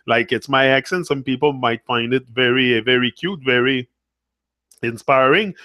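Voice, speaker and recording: A man's voice: medium pitch, moderate pace, pushed and bright, no echo, studio quality. A man with a Québécois French accent, a native French speaker hosting a casual livestream, chatting with viewers and switching back and forth between English and French. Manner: switches between English and French mid-thought, tags phrases with "là". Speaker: livestream host. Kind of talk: casual live-stream chat reading comments.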